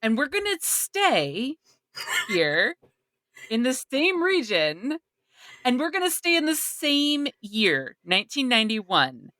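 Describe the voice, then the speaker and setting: A woman with a fairly high voice and very animated intonation co-hosts a casual discussion. She is wry and emphatic, recorded dry. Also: slow, no echo. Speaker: podcast co-host. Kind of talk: casual panel chat.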